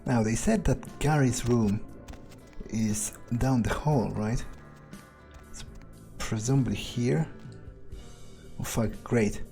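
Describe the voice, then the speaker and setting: A man's voice: mid-range voice, slow pace, gravelly, long pauses, warm tone, soft background music. A man voicing a cynical, amused, ruthless vampire as he improvises in-character roleplay in a video game. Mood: musing. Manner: hesitant, musing, sardonic, swearing.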